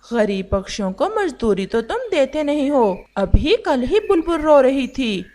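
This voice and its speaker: A woman voicing a wise, gentle old male pigeon who warns against chasing wealth. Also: sits high, big pitch swings, unhurried. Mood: calm. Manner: gentle, quietly warning.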